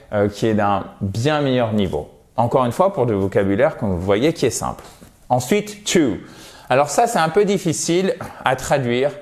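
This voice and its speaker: A man's voice: on the low side, a little mellow. A man teaching English vocabulary in a video lesson, patient and explanatory, a native French speaker who repeats words to model their pronunciation.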